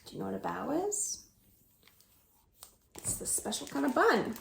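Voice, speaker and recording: A woman reads a picture book to small children, slow and coaxing, with a lively singsong swing in her fairly high voice. A slight gravelly fry sits at the bottom of her tone. The room sounds echoey, with faint noise underneath. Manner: slow, singsong and coaxing, asks the listeners to join in. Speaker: children's librarian. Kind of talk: storytime reading to children. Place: at home.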